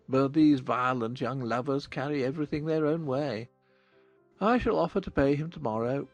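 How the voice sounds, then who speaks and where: A man playing a wry, fond older English gentleman father with a measured, dryly humorous manner, in a dramatized audiobook of a period novel. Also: on the high side, no room sound.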